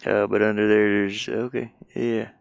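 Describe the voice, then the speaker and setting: A man's voice: on the low side, little pitch movement, moderate pace, vocal fry, dry recording. A man giving casual think-aloud commentary as he works on a game, rambling and muttering to himself with repeated words. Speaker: game developer streaming his work.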